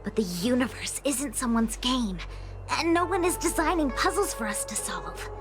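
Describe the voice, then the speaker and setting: A woman's voice: high-pitched, animated, normal speed. A woman voicing a carefree young slacker diviner in a game, philosophizing in a casual, easygoing way. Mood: carefree, earnest. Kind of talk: scripted game voice acting. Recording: clear background music under the voice.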